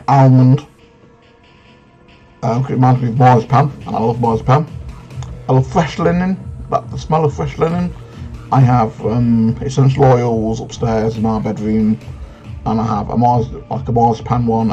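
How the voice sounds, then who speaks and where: A man's voice: medium pitch, mellow, muffled recording. Frank, hesitant man in his thirties. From England. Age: 30s. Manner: frank, hesitant, many ums. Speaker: vlogger. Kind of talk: casual Q&A vlog.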